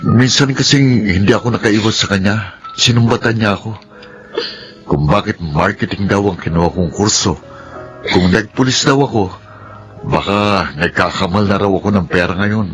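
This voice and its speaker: A calm, dutiful young man, played by an actor in a radio drama. His voice is very deep and steady. Faint music plays underneath. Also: moderate pace, natural voice. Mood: earnest. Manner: calm, advising.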